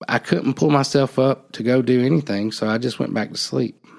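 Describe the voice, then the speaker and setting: Gravelly man, reflective. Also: medium pitch, a little mellow. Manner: halting, reflective, trailing off. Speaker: podcast host. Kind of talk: personal storytelling conversation.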